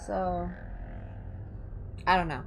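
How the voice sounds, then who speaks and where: A woman's voice: lowish voice, steady tone, very slow, background noise. A woman hosting a livestream, reading clinical horror reports aloud with informal asides and the odd stumble over hard words. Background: music.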